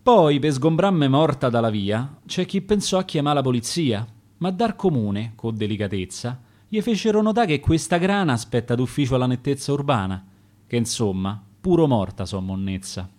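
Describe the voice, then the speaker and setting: A bitter dead homeless woman tells her end, voiced by a man in a Roman accent. She is blunt and bitter. Her pitch moves in wide swings. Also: lively intonation, moderate pace, a little mellow, natural voice.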